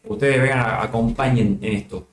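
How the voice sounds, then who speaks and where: A man from Salta with an Argentine Spanish accent, a guest on a casual home stream, speaking softly and hesitantly with many fillers. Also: mid-range voice, fairly even intonation, normal speed, reverberant. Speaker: guest co-host.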